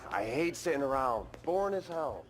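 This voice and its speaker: A man's voice: medium pitch, very animated, normal speed, close to an everyday voice. A man playing a sullen, bored mob driver in a video game, complaining as he talks. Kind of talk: video game character acting. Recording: clear noise and clicks behind.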